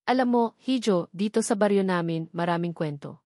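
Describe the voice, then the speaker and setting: A woman's voice: mid-range voice, moderate pace, darkish tone, no echo, lightly acted. A woman narrator plays an old village gossip in an audio story. She is curious and pitying, confiding secrets in knowing hints, and the sound is dry and close. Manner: hinting, confiding.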